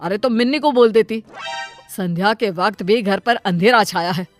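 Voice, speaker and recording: A woman's voice: mid-range voice, normal speed, faint music behind, close to an everyday voice. Woman doing a cartoon dad, middle-aged, stern, scolding, blunt.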